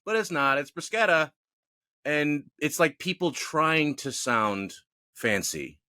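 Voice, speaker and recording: Bright-voiced man ranting on a podcast. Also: on the high side, takes it slowly, no echo, studio quality. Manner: opinionated, animated, self-mocking. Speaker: podcast co-host. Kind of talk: casual ranting chat.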